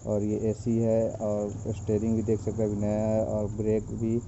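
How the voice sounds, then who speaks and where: Low monotone man listing car features. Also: normal speed, a little hiss or hum. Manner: plain listing, repetitive. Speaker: narrator of car interiors. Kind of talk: voice-over walkthrough. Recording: dull lo-fi sound with faint insects.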